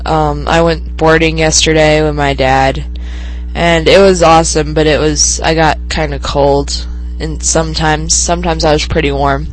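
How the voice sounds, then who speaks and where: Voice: mid-range voice, flat delivery, normal speed, faint music behind, rough recording. A teenage podcast host with a cold, sniffling and congested, chatting on their own in a rambling way with many ums and restarts. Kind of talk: casual solo podcast chat.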